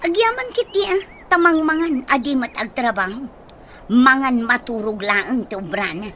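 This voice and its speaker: A woman's voice: on the high side, expressive, normal speed, bright, forceful tone, slight background noise. An actress playing a callous, scheming woman in an Ilocano radio drama, talking scornfully.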